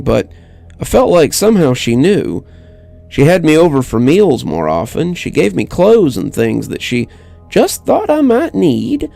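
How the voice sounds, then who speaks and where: A man reading a scripted first-person horror story in a measured, dramatic way with deliberate pauses. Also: medium pitch, vocal fry, darkish tone, no echo. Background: music under the voice.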